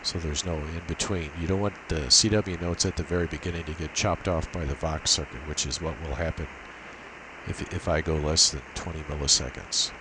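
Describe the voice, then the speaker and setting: Very low male voice, methodical technical explainer, unscripted demo, clearly audible background hiss. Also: fairly quick. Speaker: amateur radio demonstrator. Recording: steady hiss and noise behind voice.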